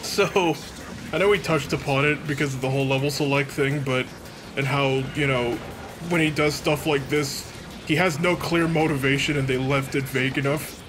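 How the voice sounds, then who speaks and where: A man's voice: mid-range voice, fairly even intonation, moderate pace. A man giving casual video game commentary as a let's player, joking sarcastically and acting out mock dialogue. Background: video game music.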